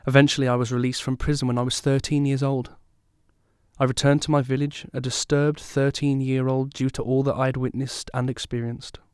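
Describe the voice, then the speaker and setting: A male actor as a haunted survivor in an audio drama. He tells of his traumatic youth in a solemn, measured way, with a medium-pitched voice. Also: little pitch movement, a little mellow, clean tone, dry recording, studio quality, natural voice. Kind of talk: scripted first-person drama narration.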